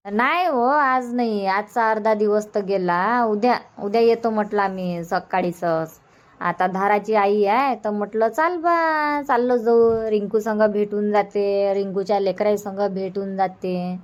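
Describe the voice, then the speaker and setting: A woman's voice: medium pitch, measured pace, natural voice. A woman voicing a chatty, practical village mother in a cartoon comedy, speaking with a Varhadi Marathi accent in a homely, repetitive way. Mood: chatty, explaining. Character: chatty, practical village mother, middle-aged or older, organising an outing.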